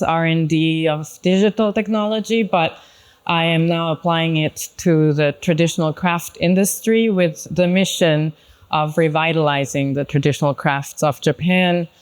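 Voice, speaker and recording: A woman from Switzerland speaks as a guest in a panel conversation. Her voice sits a little low and is clean and resonant, and she explains things in a measured, conversational manner. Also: smooth. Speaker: panel guest, craft brand founder and designer. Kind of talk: conversational self-introduction in a panel talk.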